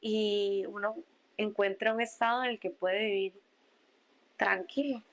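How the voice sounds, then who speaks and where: A woman's voice: mid-range voice, steady tone, unhurried. A young adult woman, talking casually and conversationally as a guest on a podcast.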